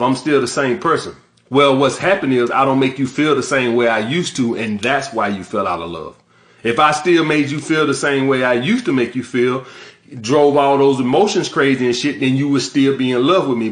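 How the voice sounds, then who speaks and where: A man's voice: medium pitch, a bit bright, echoey room. A man giving dating advice straight to his audience, talking bluntly and swearing for emphasis. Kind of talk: direct-to-camera advice monologue.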